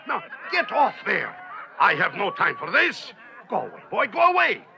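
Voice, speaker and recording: Pompous gravelly auctioneer, raspy, bright and very lively, slow booming patter. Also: male voice, a little hiss or hum, full character voice. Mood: impatient. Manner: blustering, showy salesman patter, laughing. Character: pompous loud male auctioneer, greedy and impatient.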